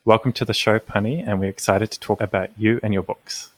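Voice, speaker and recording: A man's voice: lowish voice, fairly even intonation, brisk, dry recording. A man hosting a podcast, reading a scripted introduction and then asking interview questions in a friendly, slightly hesitant way. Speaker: podcast host.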